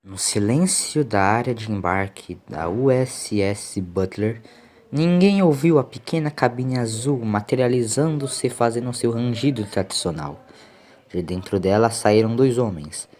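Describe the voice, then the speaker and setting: A man's voice: medium pitch, animated, a little slow, a little mellow. A man with a Brazilian accent narrating a scripted audiobook story.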